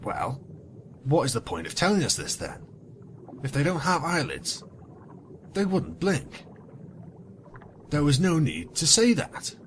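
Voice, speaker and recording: A man's voice: a little high, a bit bright, a little hiss or hum. A man reading fan fiction as a narrator, theatrical, with sarcastic, wry asides. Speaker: narrator and commentator.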